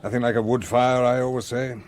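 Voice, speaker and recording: An actor playing a bluff, genial English colonel in a radio play, with an English accent and a jovial manner. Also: male voice, medium pitch, flat delivery, fast, a little mellow, slight background noise, lightly acted. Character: hearty, genial English colonel, sentimental police chief.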